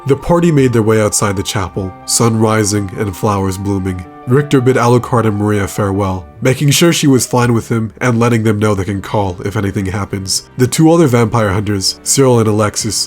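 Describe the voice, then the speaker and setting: A man narrating a scripted video essay, recapping a story in a steady, even storytelling manner. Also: lowish voice.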